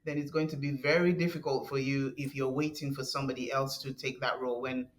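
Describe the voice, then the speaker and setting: A woman guest with a deep voice, talking in an earnest, halting way with frequent restarts and soft laughs. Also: level tone. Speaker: podcast guest. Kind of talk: conversational interview.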